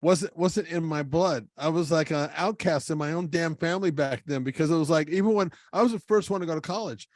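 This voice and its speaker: A middle-aged man hosting a podcast interview, chatty and self-deprecating, quick to laugh at himself. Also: a little high, dry recording, studio quality. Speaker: podcast host. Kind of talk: casual interview chat.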